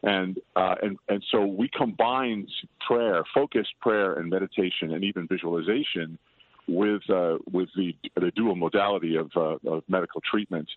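An older man chatting as an interview guest, loud-voiced, rambling and self-deprecating. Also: low voice, steady tone, normal speed. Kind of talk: casual interview chat. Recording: tinny dry phone line.

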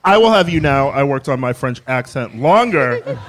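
A man co-hosting a podcast, teasing with quick jokes in comedic banter. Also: medium pitch, lively intonation, fairly bright tone, a little hiss or hum. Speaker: podcast co-host.

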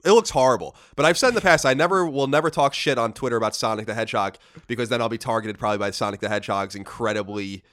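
A man's voice: mid-range voice, racing, few pauses, a bit bright. A young man co-hosting a podcast in casual chat, sounding stuffy from a cold and reading listener emails aloud. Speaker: podcast co-host.

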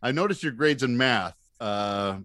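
A man's voice: mid-range voice, expressive, measured pace, a bit bright, no room sound, clean studio sound. A man chatting as a comedy podcast guest, wry and self-deprecating, acting out bits of dialogue as he tells stories. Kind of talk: casual comic storytelling chat.

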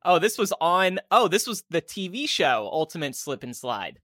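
A man with a lively, swinging delivery and a bright tone, acting mock-offended in podcast banter. His voice sounds close and dry. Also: high-pitched, moderate pace, no echo. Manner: mock-outraged, animated. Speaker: comedy podcast co-host. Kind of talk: improvised comedy banter.

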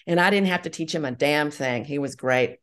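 A woman's voice: low-pitched, a little fast, clear, resonant tone. A middle-aged woman answering interview questions conversationally as a podcast guest, enthusiastic and emphatic, often repeating herself. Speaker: podcast guest, audio drama writer-director.

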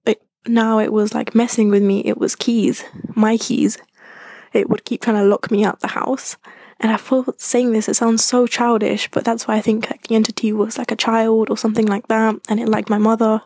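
A young woman with a mixed Colombian and British accent tells a personal story, drifting through fillers and restarts. Her voice has a gravelly fry and stays flat in pitch. It is recorded close and dry, with no room sound. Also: no echo. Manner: rambling, many fillers and restarts. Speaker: listener sending in a story.

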